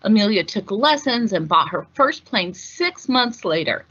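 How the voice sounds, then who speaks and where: A woman reading a picture book aloud as host, in a measured, deliberate storytelling delivery. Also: medium pitch, animated, fairly bright tone, husky, dull audio. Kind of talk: scripted picture-book narration.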